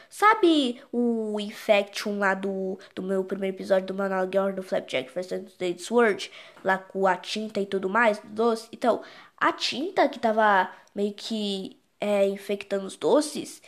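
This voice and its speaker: A woman's voice: a little high, darkish tone. A woman with a Brazilian accent giving a casual, unscripted recap commentary, rambling and repeating as the words are searched for. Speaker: video creator explaining own animated horror episode.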